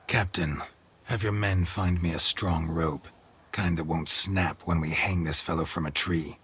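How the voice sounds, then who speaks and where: An actor playing a calm, menacing monster hunter in a video game, with a husky rasp and terse deadpan delivery. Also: male voice, low-pitched, a little slow, heavily acted. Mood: dry menace. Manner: deadpan, terse, clipped sentences. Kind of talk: understated video game acting.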